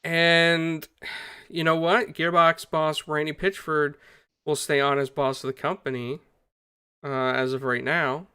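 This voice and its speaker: A man's voice: on the high side, little pitch movement, a little slow, pushed and bright, clear, resonant tone, no echo, studio quality. A man hosting a casual gaming podcast, upbeat and greeting viewers by name. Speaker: podcast host.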